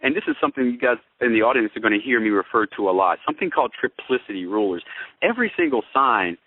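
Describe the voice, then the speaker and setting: A man's voice: mid-range voice, expressive, quick pace. A man co-hosting an astrology talk, explaining things in a folksy, conversational way and often checking in with listeners. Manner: explanatory, folksy, addresses listeners with tag questions. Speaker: co-host, astrologer. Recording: tinny, phone-like radio-show audio.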